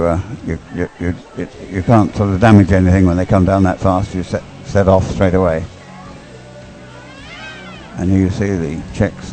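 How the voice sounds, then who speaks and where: A man's voice: low-pitched, mellow, rough recording. A man giving unscripted live sports commentary in a hesitant, rambling, stop-start way.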